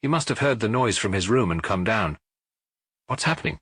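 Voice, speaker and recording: A man giving an expressive scripted narration of a detective story as an audiobook narrator. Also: lowish voice, fairly quick, husky, no echo, studio quality.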